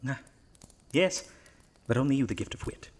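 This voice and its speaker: Actor as a courteous, formal, self-doubting priest. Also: male voice, mid-range voice, animated, moderate pace, background noise, close to an everyday voice. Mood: musing. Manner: courteous, formal, slightly pompous. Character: polite, devout priest, hesitant and quietly self-doubting. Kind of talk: horror audio drama acting.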